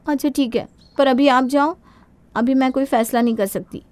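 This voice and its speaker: A woman's voice: high-pitched, fairly even intonation, moderate pace, darkish tone, dry recording, close to an everyday voice. A woman voicing an aggrieved, stubborn young wife in a cartoon moral story, complaining sulkily. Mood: reluctant. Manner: complaining, sulky.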